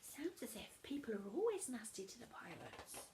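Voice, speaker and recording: A woman reading a storybook aloud to children as a teacher would, animated and emphatic, talking directly to young listeners. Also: a little high, moderate pace, a little hiss or hum.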